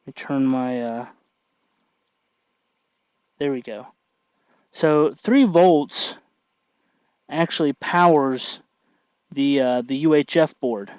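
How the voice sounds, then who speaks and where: Guy doing a laid-back tutorial, kinda halting as he works, dry close sound, average pitch. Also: a little mellow, smooth, no echo, muffled recording. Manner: halting, thinking aloud while working. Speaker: tutorial host. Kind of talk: casual hands-on tutorial narration.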